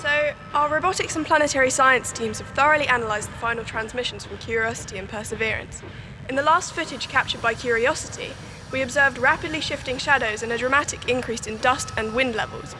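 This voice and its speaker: A woman's voice: sits high, a little fast, noisy background, close to an everyday voice. A young woman playing a confident scientist who explains things in an authoritative, matter-of-fact way in a scripted news drama. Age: young adult. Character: confident, dismissive female scientist.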